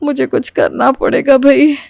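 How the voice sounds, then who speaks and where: A woman's voice: sits high, fairly even intonation, moderate pace, vocal fry, a little mellow, no room sound, studio quality. A woman voicing a scheming, dramatic older mother-in-law in a cartoon story, theatrical and falsely sweet. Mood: exasperated, dismayed. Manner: theatrical, exaggerated. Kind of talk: animated Hindi moral story voice acting.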